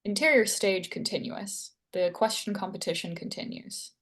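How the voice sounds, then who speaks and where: A woman's voice: medium pitch, normal speed, a bit bright. A woman reading stage directions as the narrator of a screenplay table read.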